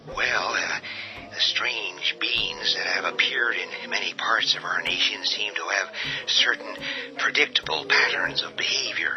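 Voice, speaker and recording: A man playing a president addressing the nation over the radio in a radio drama, hushed and hesitant, with many pauses. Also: fairly low pitch, in a whisper. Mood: grave. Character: halting, grave male president addressing the nation. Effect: radio broadcast sound. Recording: dull lo-fi audio with faint music.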